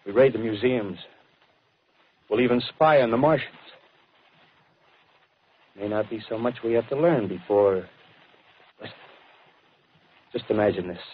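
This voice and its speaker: An American actor playing a fanatical, rough-spoken soldier survivor in a 1930s radio drama, talking in clipped, insistent slang. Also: male voice, mid-range voice, a little hiss or hum, lightly acted. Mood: feverish scheming. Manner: colloquial tough-guy talk, clipped phrases, insistent. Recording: dull, muffled 1930s radio broadcast recording.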